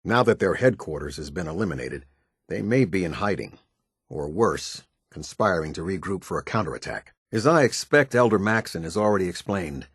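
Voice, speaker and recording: An American man playing a stern, formal military officer in a video game, speaking with husky, clipped, measured authority. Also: sits low, lively intonation, no room sound, clean studio sound, exaggerated voice. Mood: measured, grave briefing. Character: stern, formal male military officer of a post-apocalyptic order. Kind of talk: video game character dialogue.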